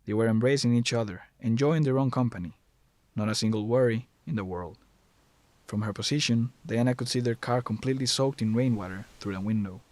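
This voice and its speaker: A man's voice: medium pitch, a little fast, darkish tone, dry recording. A man narrating a scripted horror story as the narrator, recorded close to the mic.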